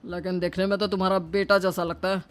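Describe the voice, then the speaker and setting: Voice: medium pitch, flat delivery, fast, close to an everyday voice. A cheeky, bumbling street robber as an actor plays him in a cartoon tale. The male thief talks over faint background music. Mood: teasing. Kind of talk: cartoon story voice acting.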